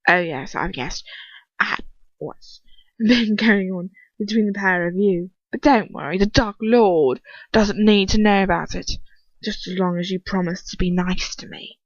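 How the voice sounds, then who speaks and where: A woman's voice: mid-range voice, unhurried, no room sound, muffled recording. A woman voicing a menacing male wizard villain in a storybook reading, a crude bully with a mocking, hissing delivery. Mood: sneering, menacing.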